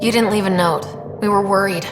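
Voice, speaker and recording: A woman playing a helpful, wry young space explorer in video game dialogue, talking in a steady conversational way. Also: medium pitch, brisk, roomy sound, big-room sound, music in the background, natural voice. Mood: concerned, teasing.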